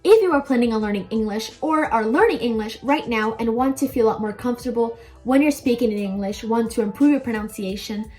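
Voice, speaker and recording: A woman's voice: fairly high pitch, fairly quick, hardly any pauses, darkish tone, soft background music. A young woman giving casual advice to viewers as a language-learning video host, chatty, informal and emphatic. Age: young adult.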